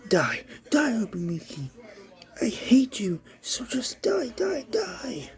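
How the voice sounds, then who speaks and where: A man's voice: very high voice, huge pitch swings, takes it slowly, darkish tone, pitched above the performer's own voice, full character voice. A man voicing a teenage girl possessed by a killer alter ego, swinging between frantic screams and icy whispers. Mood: murderous rage.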